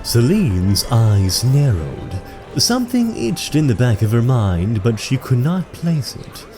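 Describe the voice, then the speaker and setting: An actor playing a solemn storyteller, narrating a fantasy audio drama in a dramatic, literary manner. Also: male voice, medium pitch, big pitch swings, takes it slowly, music in the background. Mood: suspenseful.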